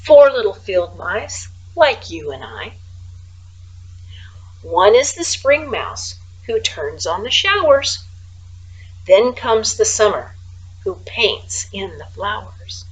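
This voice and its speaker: A woman voicing a calm, dreamy male field mouse poet in a children's storybook, speaking slowly and earnestly. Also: mid-range voice, breathy edge, heavily acted, rough recording. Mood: theatrical recital. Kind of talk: children's storybook read-aloud.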